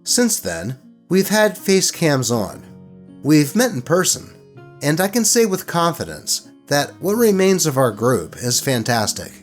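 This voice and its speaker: A man narrating stories in an expressive, colloquial way, with casual commentary mixed in. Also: on the high side, a little slow, soft background music. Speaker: host reading online tabletop horror stories.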